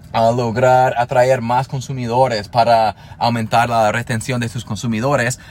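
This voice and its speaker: A man in his thirties, a native English speaker talking in Spanish, hosting a solo podcast in an earnest, motivational way with frequent restarts. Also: medium pitch. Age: 30s. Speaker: podcast host.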